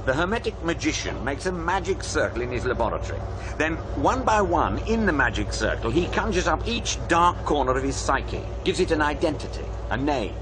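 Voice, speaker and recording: An actor playing a world-weary, knowing sceptic with a sardonic conversational delivery in a radio drama. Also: male voice, on the high side, sing-song, a little fast, background noise.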